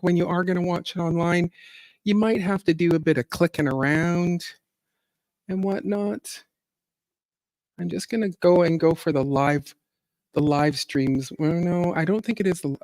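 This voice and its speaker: A middle-aged woman with a low, deep voice, a singer hosting a casual livestream chat, rambling along with fillers and little laughs. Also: a little mellow, no echo. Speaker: singer and gardening channel host.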